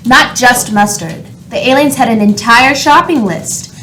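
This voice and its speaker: Casting: female storyteller, slightly high voice, scripted radio narration, faint noise. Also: expressive, measured pace, a bit bright.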